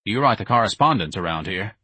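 Harried, short-tempered company owner in a storybook, husky and demanding, varied in pitch; a man acts him out. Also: lively intonation, quick pace, pushed and bright, no room sound, heavily acted. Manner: curt, demanding. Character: exasperated, irritable male business owner.

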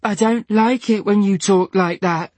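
A fragile woman patient pleads and sobs in a thin, high, wavering voice, as a male audiobook narrator puts her on. The voice sounds far off, and it cracks with tears. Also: fairly even intonation, measured pace, a little mellow, no room sound. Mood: pleading.

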